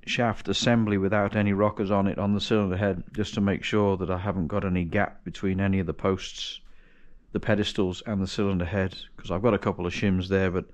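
A man with a lowish voice talks through hands-on work in a big indoor garage workshop. He thinks aloud as he goes, often restarting and correcting himself. The sound comes from a camera strapped to his chest. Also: little pitch movement, brisk. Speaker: home mechanic vlogger. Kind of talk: casual workshop narration. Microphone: chest-mounted action camera.

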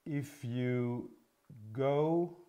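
Older-ish guy in his 50s, european accent, slow hesitant workshop explainer, mid pitch. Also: dark tone. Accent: continental European. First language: not English. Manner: halting, hesitant delivery. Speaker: engine workshop presenter. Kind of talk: unscripted product explanation. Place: indoor engine workshop showroom.

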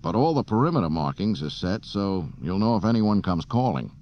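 A man's voice: on the low side, very animated, brisk, voice pushed up. A man voicing a cocky, flippant young space smuggler in an audiobook. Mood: casual. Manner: flippant, offhand, hesitant ums. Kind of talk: audiobook character acting. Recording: dull, hissy cassette transfer.

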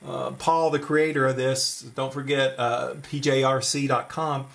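A man's voice: medium pitch, flat delivery, measured pace, vocal fry, a bit bright. A man giving an informal hands-on electronics demonstration, explaining as he goes and thinking aloud. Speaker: electronics tutorial presenter. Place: at a desk indoors.

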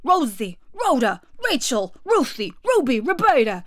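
A woman voicing a huge, fierce male gorilla in a storybook, forceful, demanding and impatient. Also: sits high, very animated, unhurried, full character voice. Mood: exasperated. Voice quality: big, forceful voice. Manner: blustering, demanding. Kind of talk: storybook read-aloud character voice.

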